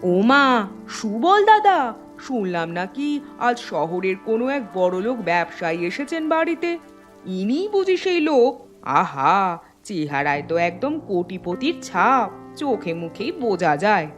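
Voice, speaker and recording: A woman's voice: medium pitch, sing-song, unhurried, soft background music. A woman playing a nosy, gushing, teasing village neighbour in a cartoon. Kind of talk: cartoon dialogue dub.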